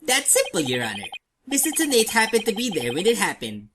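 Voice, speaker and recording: A woman's voice: medium pitch, wildly swooping pitch, fairly quick, background noise. A woman voicing a sly, self-assured male prosecutor with a formal, oily manner in an amateur game dub. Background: game music.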